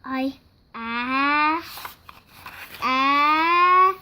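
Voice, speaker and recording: A five-year-old child reads a picture book aloud, acting out the parts. The voice is high and the delivery is very slow and halting, word by word. There is some noise behind the recording. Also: pushed and bright. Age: child, five years old. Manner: slow, halting word-by-word reading with acted-out sneezes.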